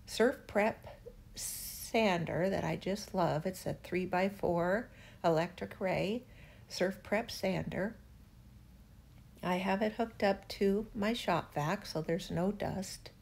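An older woman narrating her furniture restoration in a relaxed, chatty way, gently enthusiastic about the wood. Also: on the low side. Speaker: furniture refinisher.

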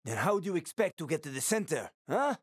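A man's voice: fairly high pitch, sing-song, fast, husky, dry recording, clean studio sound. A man playing a streetwise, wisecracking middle-aged survivor and smuggler in a video game, talking in a sly, casual, teasing way. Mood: urging, coaxing. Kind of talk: video game voice acting, conversational.

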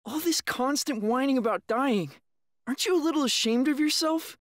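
Woman doing a little anime boy, kinda high voice, unimpressed and matter-of-fact, dry sound. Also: moderate pace, dry recording, close to an everyday voice. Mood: scornful. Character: young boy, blunt, sceptical and unimpressed. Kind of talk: anime dub voice acting.

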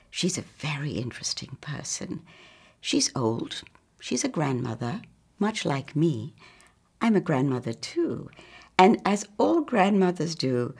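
A woman in her sixties with a Trinidadian accent, reading a children's story in a deliberate, theatrical manner. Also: extremely low pitch, vocal fry, a little mellow, breathy edge.

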